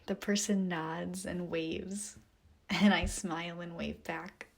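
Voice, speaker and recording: A woman narrating a guided meditation visualization in a soft, breathy, whispery voice, with a gentle, soothing manner and long pauses. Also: on the low side, fairly even intonation.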